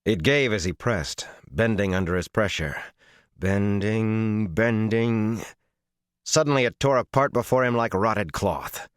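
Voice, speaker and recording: A man reading a dramatic fantasy audiobook as its narrator, with a measured, intense and expressive delivery. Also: fairly low pitch, clean tone, no room sound, studio quality.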